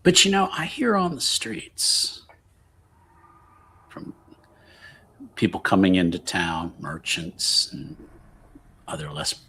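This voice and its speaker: A man playing a shrewd, blunt underworld contact in a tabletop game, wary of officials and deliberate in his speech. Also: low voice, huge pitch swings, pushed and bright, husky, exaggerated voice.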